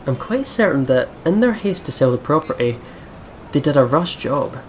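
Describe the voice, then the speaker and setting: A man's voice: fairly high pitch, big pitch swings, normal speed. A man hosting a let's play, commenting and reading the story text aloud. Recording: clearly noisy background.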